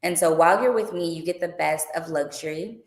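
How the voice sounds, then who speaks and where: Chatty low-voiced woman, echoey room. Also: a little fast. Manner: chatty, upbeat, frequent fillers. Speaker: tour organizer and boutique owner. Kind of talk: casual livestream Q and A.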